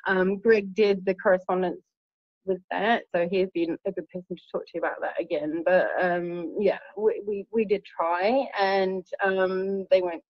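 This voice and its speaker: A woman from New Zealand with a New Zealand accent, talking as an interview guest in a casual, hesitant way with many pauses and ums. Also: medium pitch, steady tone, dry recording, dull audio. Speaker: campaigner guest.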